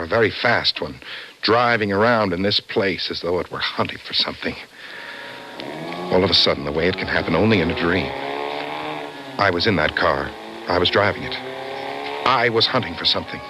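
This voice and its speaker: An actor playing an obsessed, vengeful office worker, intense and brooding, in an old-time radio suspense drama. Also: male voice, low voice, bright, forceful tone, poor audio. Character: obsessed, vengeful office worker confiding his dreams to a doctor. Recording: vintage radio drama, faint music behind.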